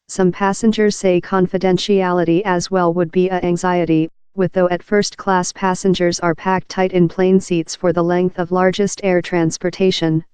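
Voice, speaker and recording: Flat, very clear narrator reading an article. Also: mid-range voice, no room sound. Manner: reading aloud, impersonal and uninflected.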